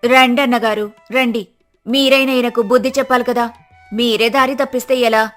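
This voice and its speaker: A woman playing a sharp-tongued, worried village wife in a moral story, scolding in exasperation. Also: a little high, steady tone, normal speed, soft background music, natural voice. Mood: exasperated.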